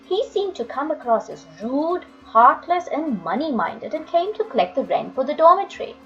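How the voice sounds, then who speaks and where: A woman storyteller reading a children's book aloud with expressive, dramatic, emphatic phrasing. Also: sits high. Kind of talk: expressive children's audiobook narration. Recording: dull lo-fi audio, music underneath.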